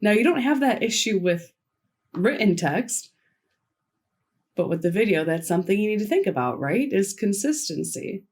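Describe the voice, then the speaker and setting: A woman voicing a signed conference keynote into spoken English, delivering it in short, measured phrases with pauses. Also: medium pitch, big pitch swings, a little mellow, breathy edge. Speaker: sign language interpreter voicing a keynote. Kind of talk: simultaneous interpretation of a conference talk.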